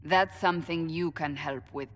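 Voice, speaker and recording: A woman's voice: low-pitched, steady tone, normal speed, a bit bright, in a large hall. A woman playing a stern, suspicious warrior in a video game, speaking bluntly and formally. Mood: firm, wary.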